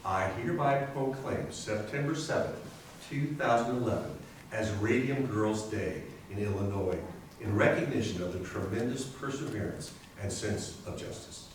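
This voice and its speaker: A man's voice: lowish voice, normal speed, lightly acted. Man playing a formal governor, very echoey. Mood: solemn, formal. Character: formal state governor making a solemn proclamation. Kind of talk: readers theater oratory. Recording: distant mic, very echoey room, faint noise.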